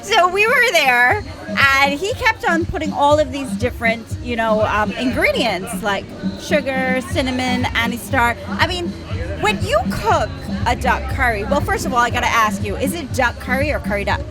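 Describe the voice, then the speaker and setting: A woman's voice: high-pitched, animated, moderate pace. A woman hosting her show outdoors in a backyard, chatty and upbeat as she interviews a guest. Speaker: host. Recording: loud music and crowd behind.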